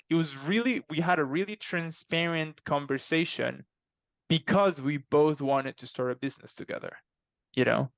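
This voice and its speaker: Gravelly-edged man, dry dull recording. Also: medium pitch, no room sound. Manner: animated extrovert, emphatic, repeats himself. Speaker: author, podcast guest. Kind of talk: casual conversational interview answers.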